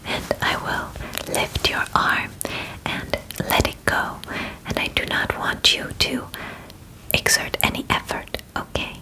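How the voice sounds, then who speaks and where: A female alien examiner acted out by a woman in her twenties speaks in a soft whisper, very slowly and deliberately. She is calm and clinical, giving formal instructions up close in the manner of an ASMR roleplay. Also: natural voice, low-quality recording. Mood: calm, methodical. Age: 20s. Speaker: ASMR performer. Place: bedroom, indoors. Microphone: close microphone.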